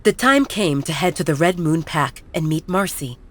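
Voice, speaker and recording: A woman's voice: low-pitched, animated, normal speed. A woman narrator reading a scripted romance audio story in the third person.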